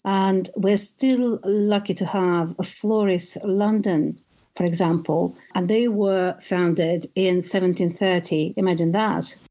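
A woman's voice: on the low side, fairly even intonation, slow, mellow. A woman from Russia with a Russian accent, talking as a podcast guest about her perfume tours, telling stories with enthusiasm and an occasional restart. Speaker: guest, perfume tour guide. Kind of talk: conversational interview.

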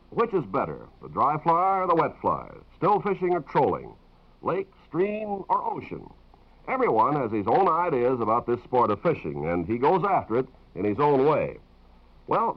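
A man announcing on 1950s radio, reading scripted broadcast narration. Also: medium pitch. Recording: dull vintage radio broadcast recording.

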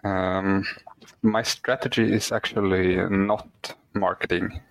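A man's voice: low-pitched, fairly even intonation, slow pace, no echo, low-quality recording. A Swedish man whose first language is not English, a guest author answering podcast questions hesitantly with many fillers. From Sweden. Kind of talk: podcast interview.

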